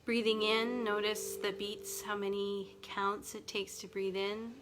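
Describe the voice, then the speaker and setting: A woman from Nova Scotia guiding an evening breathing meditation in a slow, soft, soothing way. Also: medium pitch, monotone, noisy background. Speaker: meditation guide. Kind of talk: guided relaxation meditation. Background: music and ocean wave sounds.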